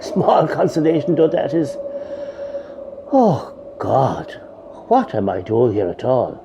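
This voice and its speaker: A man's voice: medium pitch, big pitch swings, takes it slowly, gravelly, dark tone, muffled recording, slight background noise, heavily acted. A man playing a grieving older Irish widower in a radio play, wry and rambling, with an Irish accent. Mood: tender, mournful. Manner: rambling, conversational, emotive.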